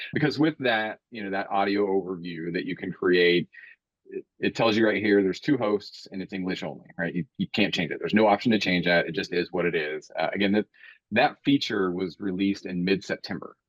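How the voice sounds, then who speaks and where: A man walking an audience through a software demo in a webinar. He explains step by step and often checks in with "right?" and "you know". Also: low voice, no room sound. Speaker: webinar presenter. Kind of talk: live demo walkthrough. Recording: dull, dry call audio.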